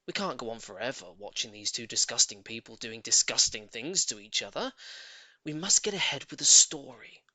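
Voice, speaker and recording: Male audiobook narrator for children's fiction: emphatic, lively, forceful tone, very dry recording. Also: medium pitch, moderate pace, dry recording, poor audio. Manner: theatrical, emphatic storytelling. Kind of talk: expressive scripted narration of a children's story.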